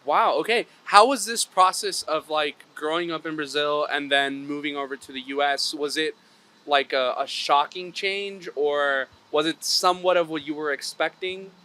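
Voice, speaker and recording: A man's voice: on the high side, steady tone, normal speed, pushed and bright. A man hosting a podcast, asking questions in an upbeat, chatty way. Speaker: podcast host. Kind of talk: casual interview hosting.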